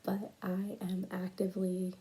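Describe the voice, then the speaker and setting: A young woman presenting a school project in a casual, halting way, stuttering and pausing often and dropping to a whisper at times, recorded on a tablet at home late at night. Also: mid-range voice, monotone, warm tone, husky. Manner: stuttering, halting, with many pauses and fillers. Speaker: student presenting a class project.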